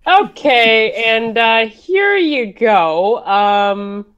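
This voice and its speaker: Higher-pitched, blunt, sarcastic woman. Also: expressive, very slow, a bit bright, smooth, highs cut off. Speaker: show participant. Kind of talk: casual group chat.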